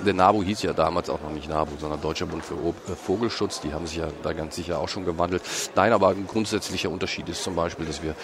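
A man's voice: sits low, steady tone, fast talker, hardly any pauses. A man from Cologne, guest on a podcast, explaining at length with many hesitations, recorded in a busy parliament foyer. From Cologne, Germany. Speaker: guest, head of a state environmental association. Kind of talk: podcast interview answers. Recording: noisy public lobby.

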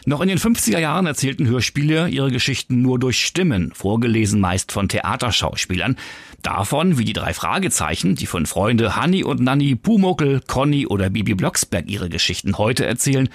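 A man's voice: medium pitch, very animated, normal speed, dry recording. A man hosting a podcast and reporting in scripted narration.

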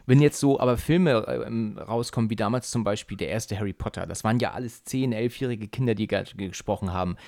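Guy interviewing someone, gravelly, lots of ums, dry room. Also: fairly low pitch, a little fast, few pauses, darkish tone, dry recording. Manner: hesitant, many fillers, agreeable. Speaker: interviewer, host. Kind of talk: interview, casual chat.

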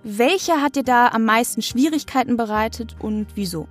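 A woman's voice: fairly high pitch, moderate pace, soft background music. A woman acting as interviewer, reading out prepared questions to her guest. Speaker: interviewer, intern at audio drama label.

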